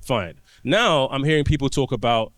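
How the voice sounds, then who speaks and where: A man, a doctor guest on a podcast, explaining his work through anecdotes in relaxed conversation and often restarting his phrases. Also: mid-range voice, moderate pace, no echo, studio quality.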